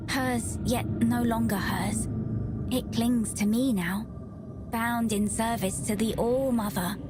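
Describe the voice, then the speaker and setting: A solemn ghostly woman speaks in slow riddles, her voice pitched a little high. Loud game music swells behind her. She sounds aloof and otherworldly. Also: steady tone. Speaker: game character.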